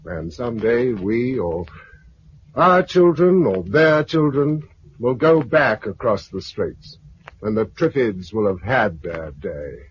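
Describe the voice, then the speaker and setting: An actor with a British accent playing a resolute, protective leader of survivors in a 1950s sci-fi radio drama. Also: male voice, fairly low pitch, animated, slow pace, husky, heavily acted. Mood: reflective, resolute. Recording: dull, noisy 1950s radio recording.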